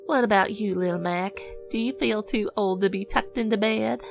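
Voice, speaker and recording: A woman's voice: mid-range voice, moderate pace, vocal fry, faint music behind, lightly acted. A woman voicing a tender, folksy mother pony, telling bedtime stories in a motherly way. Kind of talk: acted bedtime storytelling.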